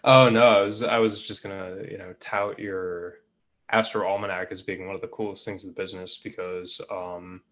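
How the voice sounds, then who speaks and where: A man's voice: sits low, little pitch movement, normal speed, a bit bright. A man from the United States, co-hosting a casual podcast in an easygoing way. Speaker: podcast co-host.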